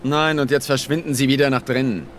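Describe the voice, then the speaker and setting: A man playing a gruff, stubborn police officer in a radio drama, curt and officious. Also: medium pitch, fairly even intonation, a little fast, noisy background, natural voice. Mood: officious, suspicious.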